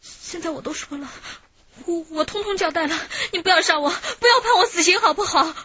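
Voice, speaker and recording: An actress playing a frightened, pleading young accomplice in a radio crime drama, desperate to be spared. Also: female voice, fairly quick, sharp tone. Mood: desperate, tearful pleading. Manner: tearful, pleading, shrill when upset. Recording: slightly dull radio drama recording.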